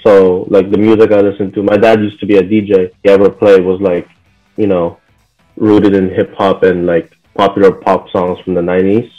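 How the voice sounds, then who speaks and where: Laid-back young man, low dull voice. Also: normal speed, faint music behind. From Bahrain. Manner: relaxed, full of "like" and "you know" fillers. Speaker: interview guest. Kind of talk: casual conversational interview.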